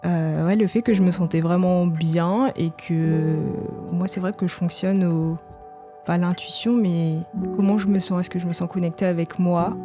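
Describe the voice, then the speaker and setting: A woman in her thirties, a podcast guest telling her own story candidly, thinking aloud with frequent hesitant pauses. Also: lowish voice, steady tone, music in the background. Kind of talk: candid personal testimony.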